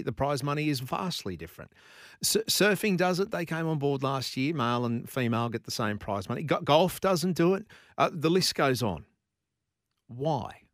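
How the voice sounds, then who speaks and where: An Australian man hosts a sports talkback show in a conversational, questioning way. His voice sits at an ordinary middle pitch. The sound is close and dry. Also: no echo. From Brisbane, Australia. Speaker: radio sports host. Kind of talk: talkback radio monologue.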